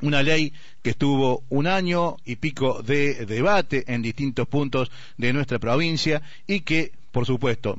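Argentine male correspondent, mid-pitched, scripted news delivery, dry and slightly dull sound. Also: no echo. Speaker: radio news reporter. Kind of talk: scripted radio news report.

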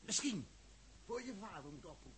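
An actor playing a blunt, hot-headed astronaut in a 1960s radio drama, excitable and emphatic, often repeating words. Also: male voice, sits high, brisk. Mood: bitter, urgent.

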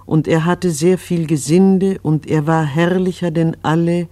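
A man's voice: on the high side, steady tone, dark tone, dry recording, lightly acted. A man as a solemn, dry-sounding chronicler. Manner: solemn, measured, scriptural recitation. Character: solemn biblical-style chronicler of a farming family. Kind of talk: scripted radio play narration.

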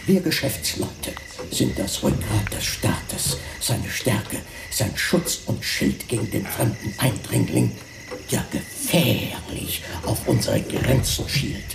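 A man's voice: mid-range voice, lively intonation, slow pace, gravelly, background noise, exaggerated voice. An actor playing a pompous, self-important, suspicious businessman who declaims in a radio drama. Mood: blustering.

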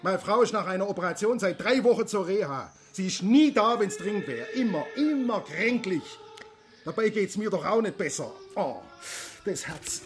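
High-voiced, distraught, ranting father. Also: animated, normal speed, faint music behind. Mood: bitter, desperate. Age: middle-aged. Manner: agitated, faltering, complaining. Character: distraught, ranting middle-aged father, a lawyer. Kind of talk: radio play acting.

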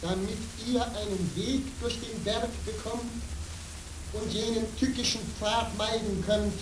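An actor playing a calm, steadfast monk with a measured, solemn delivery in a radio play. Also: male voice, sits high. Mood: serene, resolute. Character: calm, steadfast monk hewing a path through granite. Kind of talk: theatrical radio play acting. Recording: echoey, noisy radio recording.

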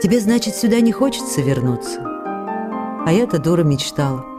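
A woman's voice: lowish voice, big pitch swings, normal speed. An actress playing a loving, hurt and anxious wife, reading her letters in an intimate, pleading way for radio drama. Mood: hurt, wistful. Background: faint music.

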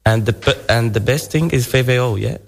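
A young man from Syria, a guest in an interview, speaking halting English as he searches for words. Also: medium pitch, creaky. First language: Arabic. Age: young adult. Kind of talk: casual interview.

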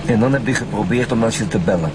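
An actor playing a stern, sceptical middle-aged police inspector with a gravelly voice in a naturalistic radio drama. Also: male voice, lowish voice, fast talker, over loud music, natural voice, low-quality recording.

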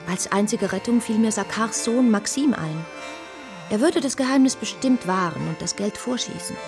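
An actress playing a composed, compassionate bourgeois lady who tells her story in a measured, earnest radio drama style. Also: medium pitch, animated, music in the background, close to an everyday voice. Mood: troubled, resolute. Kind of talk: radio drama narration and dialogue.

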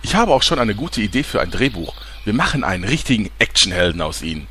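A man's voice: fairly low pitch, very animated, quick pace, sharp tone, slight background noise. A man playing a smarmy, scheming ex-journalist with grandiose patter in a parody fantasy audio drama. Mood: flattering, grandiose. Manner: grandiose, gushing salesman patter. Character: smarmy, scheming male ex-journalist turned flatterer.

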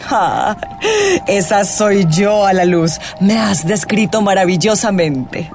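An actress playing an eccentric, gushing and flirtatious witchy astrologer in a radio drama, with dramatic, suspenseful phrasing. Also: mid-range voice. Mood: flustered, apologetic. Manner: dramatic, suspenseful drawn-out delivery with whispers. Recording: music playing behind the voice.

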